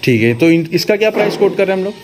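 A man's voice: high-pitched, lively intonation, racing. A man hosting a casual vlog interview, asking questions and repeating answers back to confirm. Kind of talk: casual on-camera interview about livestock. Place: open-sided livestock shed. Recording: noisy open shed with farm ambience.

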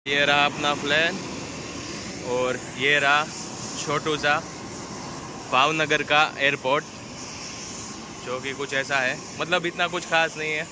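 A man in his thirties chatting casually as he shows a flight and an airport, filming with a phone at arm's length. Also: fairly high pitch. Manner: chatty, informal, guiding the viewer. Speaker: travel vlogger. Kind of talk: casual travel vlog narration. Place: inside a small plane, then outdoors at an airport. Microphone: phone camera at arm's length. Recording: noisy with engine and outdoor noise.

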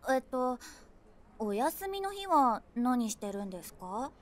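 An eager young woman trying to charm her date in a comic audio drama. Her voice is high and cutesy. She talks politely, keen to draw the other person out. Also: very animated, measured pace. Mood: eager, probing. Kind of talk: comedy audio drama dialogue.

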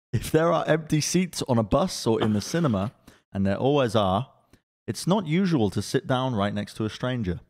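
A man's voice: medium pitch, animated, moderate pace, pauses often, a little mellow. A man co-hosting a casual podcast, reading phrasebook lines and fumbling foreign phrases between laughs, at a desk mic in a studio room. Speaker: podcast co-host. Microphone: desk microphone.

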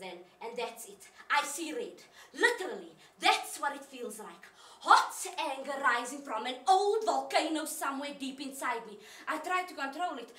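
A woman acts out a hot-tempered, rueful sister in a confessional stage monologue. Her voice is bright and swoops widely as she questions herself in everyday, emphatic words. It is heard from a distance in a roomy space. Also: high voice. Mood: reflective, heated. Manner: colloquial, emphatic, self-questioning. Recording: roomy, distant mic, faint noise.

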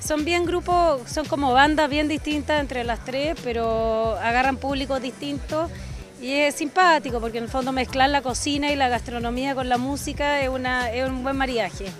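A woman talks about an event's activities in a casual interview, her voice set high. She speaks loosely and searches for words as she goes, with faint music behind her. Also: steady tone, moderate pace. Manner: loose, searching speech with fillers.

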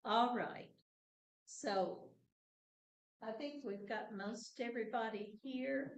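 A woman's voice: fairly high pitch, slow pace. A woman giving chatty, casual announcements to a class before a talk. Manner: chatty, laughs easily, loose phrasing. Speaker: training coordinator introducing the speaker. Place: classroom, indoors. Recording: echoey classroom.

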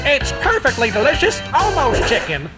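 A woman's voice: sits high, huge pitch swings, normal speed, loud music behind the voice. A woman voicing a theatrical, salesy cartoon chicken mascot on a game tape. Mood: salesy, correcting.

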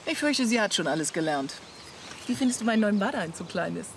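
Clear background noise surrounds a woman playing a wry, discontented camper wife in a radio comedy. Her voice is medium in pitch, with a dry delivery. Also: animated, fairly quick, close to an everyday voice. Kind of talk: radio comedy drama acting.

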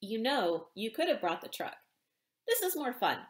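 A woman's voice: fairly high pitch, very animated, moderate pace. Woman reading as casual young male chauffeur, echoey. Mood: teasing. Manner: casual, teasing. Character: easygoing teasing young male chauffeur, a brother. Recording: roomy home echo.

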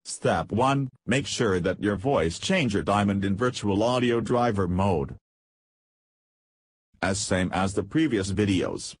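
Husky, low-voiced man narrating a tutorial. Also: animated, takes it slowly, dry recording, clean studio sound. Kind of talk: scripted software tutorial narration.

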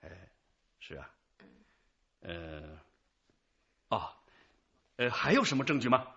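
Male detective chief, radio drama: forceful, expressive middle-pitched voice, methodical, patient, affable authority. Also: slow pace, husky, heavily acted. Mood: probing. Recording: dull lo-fi radio drama track.

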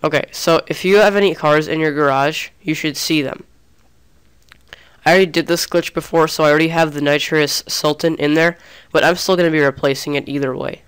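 Young man, sick, casual tutorial talk, very clear smooth voice, slightly high. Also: fairly even intonation, brisk, a bit bright. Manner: casual, chatty, restarts often. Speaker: gaming tutorial creator. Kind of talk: screen-recorded tutorial.

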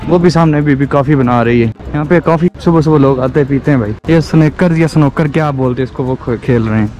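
A man's voice: mid-range voice, a little fast, a little mellow, highs cut off, soft background music. A man in his twenties with a Pakistani accent, chatting informally to camera as a travel vlogger outdoors. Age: 20s. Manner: informal, chatty, addresses viewers as brother. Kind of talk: casual vlog narration to camera. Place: outdoors on streets and in gardens. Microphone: handheld action camera at arm's length.

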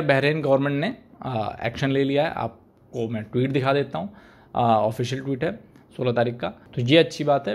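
A young man talking to camera as a video commentator, arguing pointedly and asking rhetorical questions, recorded with a desk microphone in a small room. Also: medium pitch. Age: young adult. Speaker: YouTube commentator. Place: small indoor room, plain wall. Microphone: desk microphone in front.

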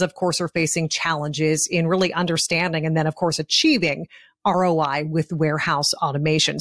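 A woman's voice: on the low side, no echo. Lady in her 40s hosting a business podcast, conversational, really dry sound. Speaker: podcast host. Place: indoors, on a video call.

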